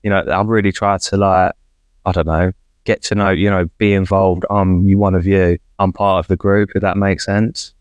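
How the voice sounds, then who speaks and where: A man's voice: low-pitched, level tone, normal speed, clear, resonant tone, no echo, dull audio. A man with a London accent, a podcast guest who chats casually in a self-deprecating way and laughs easily. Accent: London English. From London, England. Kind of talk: casual chat.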